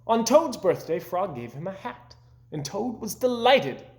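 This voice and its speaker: A man reading a children's story aloud as narrator, expressive, gentle and deliberate. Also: sits high. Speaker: storybook reader.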